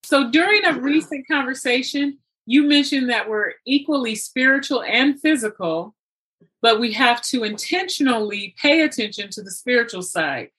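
A woman talking reflectively in conversation, thoughtful and sometimes halting as she searches for words. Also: fairly high pitch, animated, a bit bright, husky, low-quality recording. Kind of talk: reflective conversational talk about spirituality.

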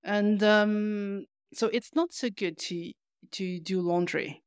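A woman's voice: medium pitch, fairly even intonation, slow pace, clean tone, dry recording, studio quality. A woman co-hosting a podcast in casual conversation, explaining things hesitantly and often repeating words as she goes. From lives in Paris. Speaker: podcast co-host.

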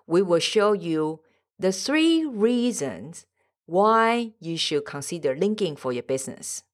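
Lively low-voiced woman podcast host. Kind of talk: podcast intro and interview.

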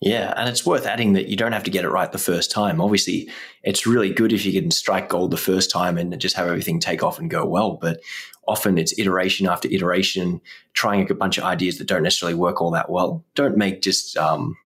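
A man's voice: deep voice, fairly even intonation, a little fast, a bit bright, breathy edge. A man hosting a podcast and giving motivational advice in casual interview talk. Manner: motivational, coaching tone. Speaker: podcast host and guitar teacher.

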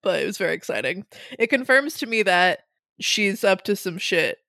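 Young woman co-host, relaxed wry chat, mid-pitch, dry close mic. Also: creaky, dry recording. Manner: easygoing, wry, quick with asides. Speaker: podcast co-host. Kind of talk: casual chat.

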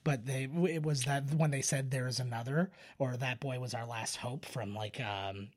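Man, podcast co-host, casual banter, rambling explainer, self-interrupting, mid-pitched voice. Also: moderate pace. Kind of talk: casual film discussion banter.

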